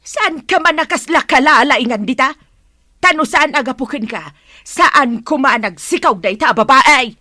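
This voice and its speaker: Harsh radio drama mother, high-pitched, sharp close dry sound, nagging and exclaiming. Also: lively intonation, normal speed, no room sound, heavily acted. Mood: angry, nagging. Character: harsh, scolding mother who belittles her daughter. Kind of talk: Ilocano radio drama acting.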